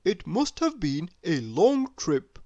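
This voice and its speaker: A woman's voice: on the low side, very animated, takes it slowly, mellow, highs cut off, exaggerated voice. A woman voicing a bumbling, hospitable storybook brother with a mild, polite manner.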